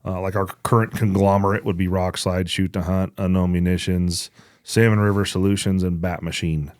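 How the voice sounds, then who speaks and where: Low-voiced man, close dry podcast sound. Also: flat delivery, normal speed, darkish tone, no room sound. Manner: blunt, profane, joking banter. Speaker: podcast host. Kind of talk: casual chat, announcements.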